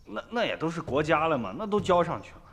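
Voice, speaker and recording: A man's voice: a little high, sing-song, fast talker, a bit bright, husky, big-room sound, heavily acted. An actor playing a guarded, poor rural man in a radio drama, giving short, evasive replies.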